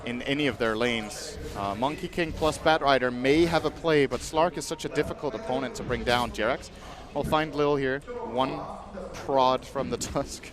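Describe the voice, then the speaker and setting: A man giving measured, analytical color commentary on an esports match. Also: mid-range voice, a crowd in the background. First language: English. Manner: measured, explanatory.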